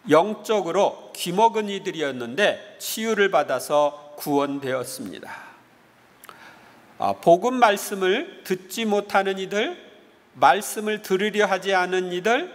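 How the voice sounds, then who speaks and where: High-voiced priest preaching in echoing church. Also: male voice. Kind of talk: homily with anecdotes. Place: large church interior. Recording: reverberant church hall with long echo.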